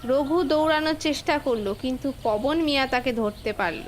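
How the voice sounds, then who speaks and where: High-pitched female story narrator. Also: moderate pace, a little hiss or hum. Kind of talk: scripted story narration for a cartoon fable.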